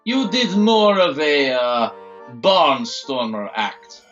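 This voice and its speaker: A gloating villain doctor, slow and deliberate with theatrical swoops in his voice. He taunts with pompous relish, like a radio drama tormentor, over music in a slightly narrow recording. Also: fairly high pitch, big pitch swings, a bit bright, heavily acted. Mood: mocking. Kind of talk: audio drama villain monologue.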